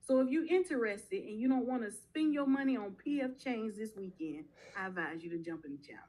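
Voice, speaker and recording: A woman coach talking directly and punchily to a livestream audience, pitching her program. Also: expressive, fairly quick, a little mellow. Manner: direct, punchy, says "y'all". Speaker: guest speaker, mobile home investing coach. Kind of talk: casual sales pitch.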